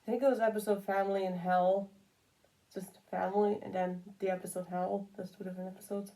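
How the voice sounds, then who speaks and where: A woman with a soft-edged, dark voice talks casually and off the cuff. She rambles through her opinions as a commentary creator, circling back to repeat phrases for emphasis. Her pitch sits in an ordinary middle range. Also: little pitch movement, a little fast, low-quality recording.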